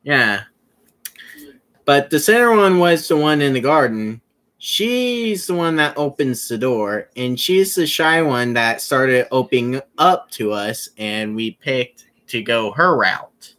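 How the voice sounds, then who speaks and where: A streamer giving casual commentary on a game, halting and often repeating phrases. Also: medium pitch, animated, fairly bright tone. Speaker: streamer playing a visual novel. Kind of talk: casual livestream commentary with read-aloud.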